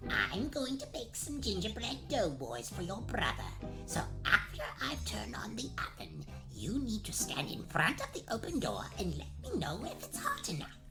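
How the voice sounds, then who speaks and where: A woman's voice: a little high, wildly swooping pitch, normal speed, loud music behind the voice. Crabby old witch, wildly swooping. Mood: scheming. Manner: wheedling endearments, flustered bluster, snappish. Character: crabby, half-blind old witch, sly and blustering. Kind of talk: comedic radio play, gag-dub cartoon acting.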